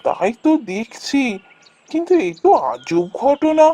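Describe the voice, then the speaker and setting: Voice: high voice, sing-song, a little slow, warm tone. An actor plays a village husband and father in a fairy-tale cartoon. He is skeptical and grumbling. His delivery is dismissive and questioning. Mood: amazed.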